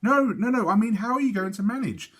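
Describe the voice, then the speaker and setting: A patient storybook father, read by a man, with lively, rising intonation. The character is practical and reasonable, gently questioning a child's plans. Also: high-pitched, fairly quick, husky, voice pushed up, exaggerated voice.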